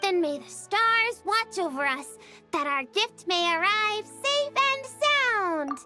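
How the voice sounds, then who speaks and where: A woman's voice: lively intonation, slow pace, over music, heavily acted. A woman voicing a small, cute, childlike female fairy creature in a video game, cheerful and earnest, with exclamatory delivery. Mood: cheerful, chatty. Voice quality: high, bright, childlike.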